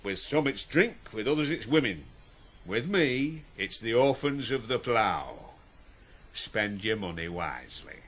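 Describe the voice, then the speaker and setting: A brisk farm master in a radio play, his pitch leaping about animatedly. He gives orders and homilies in a commanding, sententious way, exasperated yet fatherly. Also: very animated, fairly bright tone, a little hiss or hum. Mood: paternal, chiding. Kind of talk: 1980s radio drama acting.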